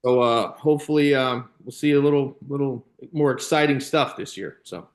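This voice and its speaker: A man's voice: mid-range voice, normal speed. American man rambling through casual stories. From Illinois, United States. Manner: rambling, peppered with "you know", "like" and "man". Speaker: podcast guest, former baseball player and youth coach. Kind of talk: casual anecdotal storytelling.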